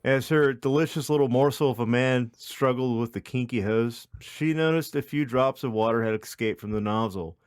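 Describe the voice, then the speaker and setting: A man's voice: mid-range voice, normal speed, hardly any pauses, clear, resonant tone, no echo, clean studio sound. A man hosting a podcast, reading a comic story in a mock-dramatic narrating style. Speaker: podcast host.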